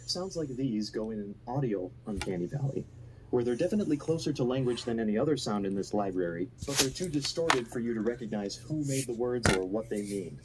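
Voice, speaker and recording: A man's voice: medium pitch, lively intonation, a little fast, noisy background. A man reading a scripted, analytical video essay narration.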